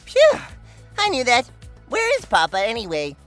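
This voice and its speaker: A goofy, swooping kid sidekick. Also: female voice, fairly high pitch, huge pitch swings, moderate pace, a bit bright, faint music behind. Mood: goofy, casual. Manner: goofy, exaggerated. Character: silly, naive, excitable young childlike sidekick. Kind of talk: children's comedy audio drama.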